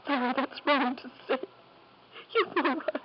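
An actress playing a wounded, restrained wife with wry bitterness in a radio drama. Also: female voice, high-pitched, measured pace. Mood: pained, urgent. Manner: theatrical, emotionally restrained. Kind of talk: 1950s radio drama acting. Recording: muffled, faintly noisy 1950s radio recording.

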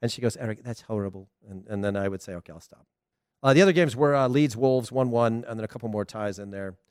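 A middle-aged man with a Southern American accent, hosting a casual soccer talk show, chatty and animated with frequent laughs. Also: fairly low pitch, quick pace, smooth, dry recording, clean studio sound. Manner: chatty, animated, laughs often, loose restarts. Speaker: soccer podcast host. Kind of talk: casual solo commentary.

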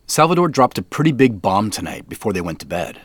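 Regular guy in a mystery audio drama, lowish voice that moves around a lot, talks natural. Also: big pitch swings, a little fast, husky, no echo, clean studio sound. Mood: pensive, intrigued. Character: Joseph, adult man on a mysterious family quest.

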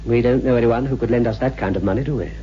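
An actor playing a worried, testy and defeated husband in a 1960s radio horror drama. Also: male voice, medium pitch, very fast, lightly acted. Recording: muffled old radio recording, faint hum.

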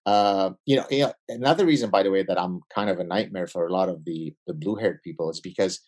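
A man's voice: deep voice, fast, darkish tone. A man who grew up in Lebanon with Arabic as his first language, talking as a guest in a podcast interview and making his points in emphatic lists with frequent restarts. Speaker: guest, behavioral scientist.

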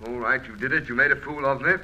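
A man's voice: mid-range voice, fairly even intonation, quick pace, bright, forceful tone, rough recording. An actor playing an irritable, impatient lawman in an old radio drama, blunt and exasperated, sounding like he is on a telephone. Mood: annoyed.

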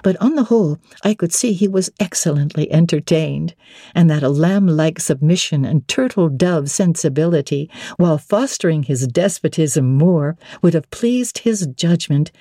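Mellow, low-voiced woman reading literature. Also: animated, moderate pace, no room sound, clean studio sound. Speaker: narrator. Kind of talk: dramatic literary audiobook narration.

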